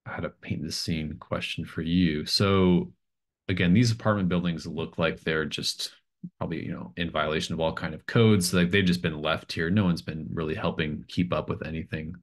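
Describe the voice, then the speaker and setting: A middle-aged man running a tabletop game as game master, narrating scenes off the cuff and searching for words. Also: low-pitched. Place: home room on a video call.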